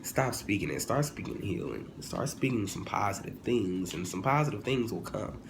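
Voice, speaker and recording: A man's voice: lowish voice, moderate pace. Male vlogger, 20s. Informal, digressive, humorous rant with wide intonation; noisy ambient recording. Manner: animated, informal, digressive, jokey, with exclamations and self-corrections. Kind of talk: casual, humorous opinion monologue. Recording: clearly noisy, ambient background sound.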